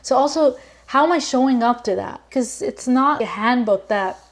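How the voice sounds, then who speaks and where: A woman's voice: on the high side, moderate pace. A woman from Lebanon talking as a podcast guest in an earnest, reflective way, with many fillers and restarts. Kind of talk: casual conversation.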